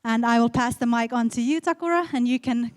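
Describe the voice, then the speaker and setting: A woman's voice: a little high, fairly quick, smooth, dry recording, studio quality. A woman welcoming viewers back to a live online event in a bubbly way. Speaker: event organizer.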